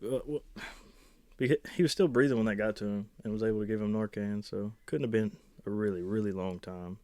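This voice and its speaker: A man's voice: lowish voice, fairly even intonation, brisk, darkish tone, dry recording. A man in his late twenties co-hosting a casual podcast chat, laid-back and dropping wry one-liners. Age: 20s. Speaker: podcast co-host.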